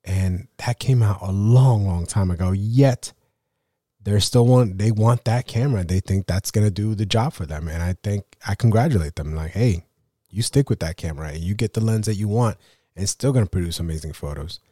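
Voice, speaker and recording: A man hosting a photography podcast while getting over a cold, rambling through a casual solo rant. His voice sits low and mellow, and he keeps leaning on "you know" and "right?". The sound is dry and close. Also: normal speed, dry recording. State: sick with a cold.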